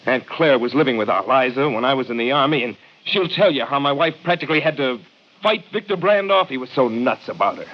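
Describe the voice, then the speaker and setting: An actor playing a blunt, protective ex-soldier husband in a 1950s radio crime drama, challenging and slangy. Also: male voice, mid-range voice, lively intonation, brisk, close to an everyday voice. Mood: challenging, resentful. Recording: muffled vintage radio recording.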